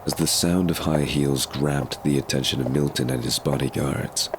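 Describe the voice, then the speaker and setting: A man's voice: very deep voice, fairly even intonation, normal speed, noisy background. A man reading scripted narration for an audio drama as its storyteller.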